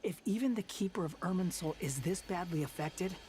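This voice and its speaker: A man's voice: sits high, brisk, darkish tone, natural voice. A man voicing a blunt, impatient analyst in a video game, curt and scornful. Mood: irritated. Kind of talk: video game voice acting, dramatic dialogue.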